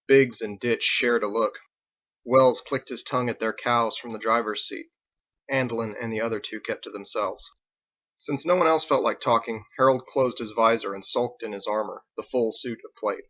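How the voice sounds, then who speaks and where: A man's voice: medium pitch, moderate pace, fairly bright tone, muffled recording. A man reading scripted audiobook narration as the story's narrator. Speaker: author narrating his own story.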